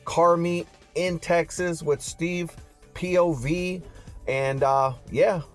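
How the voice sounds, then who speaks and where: A man's voice: fairly high pitch, steady tone, slow pace, faint music behind. A man hosting car news on YouTube in a casual, slangy vlog style, talking straight to his viewers.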